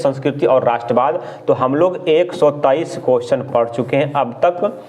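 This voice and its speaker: Male exam tutor, slightly high voice, drills questions and answers with emphatic repetition. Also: a little mellow. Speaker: teacher presenting exam questions.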